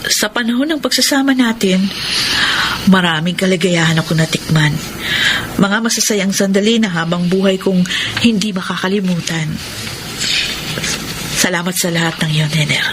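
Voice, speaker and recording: Actress as a tender, sorrowful Filipina radio-drama sweetheart. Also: female voice, lowish voice, noisy background, close to an everyday voice. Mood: bittersweet. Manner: emotional, halting, sentimental delivery. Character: tender, sorrowful woman parting from her married lover.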